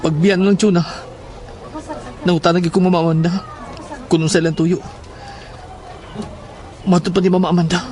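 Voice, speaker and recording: Casting: male actor as troubled schoolboy in horror audio drama, high-pitched, Cebuano accent, confiding. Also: flat delivery, moderate pace, pauses often, a little mellow, natural voice.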